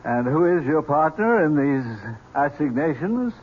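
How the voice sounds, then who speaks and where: An actor playing a genial, teasing uncle who speaks in a wry, hesitant, halting way in a vintage radio drama. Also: male voice, on the high side, big pitch swings, breathy edge, heavily acted. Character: genial, teasing uncle, awkwardly tactful and gently doubting. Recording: muffled, noisy old radio broadcast.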